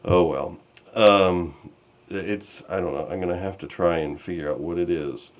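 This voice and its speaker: Very low-voiced, halting male podcast host. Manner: halting, scattered, self-deprecating. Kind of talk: casual podcast discussion recapping a story. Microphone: online call microphone. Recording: voice call with intermittent distortion.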